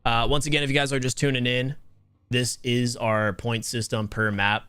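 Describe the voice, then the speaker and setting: A young man with a smooth, soothing voice casts a mobile game tournament live and talks straight to his chat. He chats loosely and restarts his words as he goes. The sound is close and dry, with no room around it. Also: mid-range voice, normal speed, dry recording. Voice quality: soothing, relaxed voice. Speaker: tournament host and caster. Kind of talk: live stream esports commentary.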